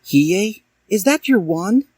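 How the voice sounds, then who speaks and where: A naive, excitable girl student, put on by a man in a comic story reading. The voice gushes and exclaims, pitched far up with animated swings. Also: takes it slowly, dry recording, heavily acted. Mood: puzzled. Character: naive teenage girl, breathy excitable schoolgirl.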